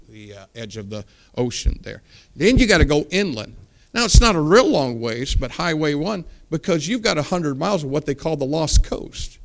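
A man in his forties preaching a sermon, telling a folksy personal story and building to emphatic points. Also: mid-range voice. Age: 40s.

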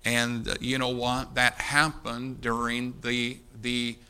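A man in his fifties preaches with a very bright, forceful voice at a medium pitch. He speaks straight to his listeners and drives points home by repeating phrases with heavy emphasis. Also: completely flat delivery, slow pace, clean tone. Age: 50s. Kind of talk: sermon, exhorting a congregation. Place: speaking live before an audience.

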